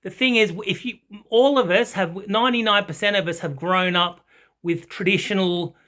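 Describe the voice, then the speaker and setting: An Australian man gives an off-the-cuff hobbyist review in a notably high voice. His tone is bright and keen, and he rambles along with halting, enthusiastic phrasing. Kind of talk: casual unscripted product chat. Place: small carpet-and-wood home listening room with foam panels.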